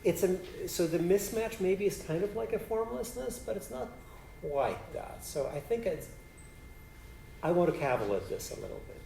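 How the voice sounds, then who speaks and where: A man's voice: sits high, expressive, normal speed, creaky, mellow, roomy sound, slight background noise, rough recording. A man whose first language is Polish, a philosophy professor answering audience questions after a lecture, thinking aloud and often checking in with "right?". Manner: thinks aloud, restarts phrases, frequent "right?".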